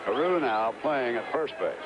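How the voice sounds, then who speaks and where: A man doing live baseball play-by-play, briskly reeling off statistics and calling each play as it happens. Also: mid-range voice, lively intonation, highs cut off. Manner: brisk, reels off stats and calls plays. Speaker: play-by-play announcer. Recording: 1970s broadcast over loud crowd noise.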